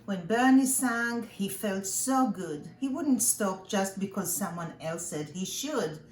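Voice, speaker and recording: A woman pre-K teacher reading a children's storybook aloud in simple, expressive storytelling. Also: medium pitch, takes it slowly. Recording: echoey room with faint background noise.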